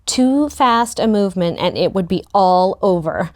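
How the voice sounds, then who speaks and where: A woman narrating a first-person story in an expressive, youthful storytelling manner. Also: medium pitch, a little slow. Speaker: narrator in a book table read.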